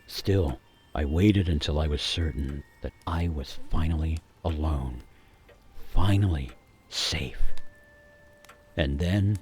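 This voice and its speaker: An actor playing a haunted gingerbread cookie who tells a grim cautionary tale in a suspenseful, theatrical radio monologue with deliberate pauses. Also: male voice, low voice, darkish tone. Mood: hushed suspense. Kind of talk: dramatic monologue for radio storytelling.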